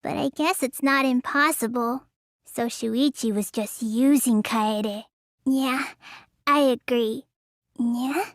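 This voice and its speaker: A woman's voice: a little high, takes it slowly, no echo, clean studio sound. A woman voicing a sluggish, stubborn, gullible teenage girl magician in an English video game dub, with a lazy, childish drawl that turns whiny. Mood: listless, matter-of-fact.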